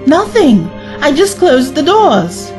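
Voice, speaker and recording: Earnest, urgent heroine, played by a woman: high, lively voice for sci-fi audio drama, emotional and principled. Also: slow pace, music in the background, heavily acted. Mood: apologetic. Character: earnest, principled former female companion of time traveler. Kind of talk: science-fiction audio drama acting.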